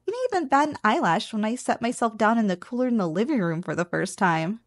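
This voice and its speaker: Woman acting a teasing, high-voiced slime girl. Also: expressive, quick pace, no echo, clean studio sound. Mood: playful teasing. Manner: playful, coaxing, intimate address to the listener. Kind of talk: ASMR roleplay.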